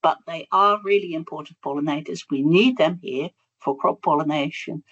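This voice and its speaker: A woman's voice: sits low, normal speed, husky, dull audio. Dutch-accented woman giving a webinar talk. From Netherlands. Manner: hesitant, many fillers, warm about her topic. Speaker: bee researcher, guest speaker. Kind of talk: webinar presentation.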